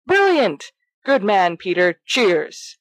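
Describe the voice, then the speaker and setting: A woman voicing a brash, excitable fourteen-year-old schoolboy in an audio fiction reading, teasing and bossy in manner. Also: mid-range voice, slow pace, dry recording. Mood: excited.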